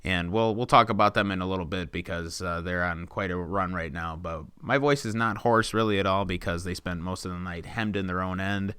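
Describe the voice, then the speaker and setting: A man's voice: sits low, fairly quick, few pauses, sharp tone, smooth, no echo, clean studio sound. A young man co-hosting a podcast, talking steadily and at length, with filler words along the way. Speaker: podcast co-host. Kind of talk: casual chat.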